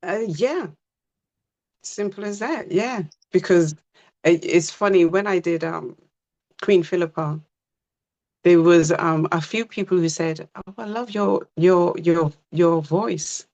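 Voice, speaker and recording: A woman from Britain, a stage actor, chatting as a guest in a relaxed, breezy way. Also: on the low side, slow pace, highs cut off. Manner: relaxed, breezy, short plain answers. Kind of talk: casual interview chat.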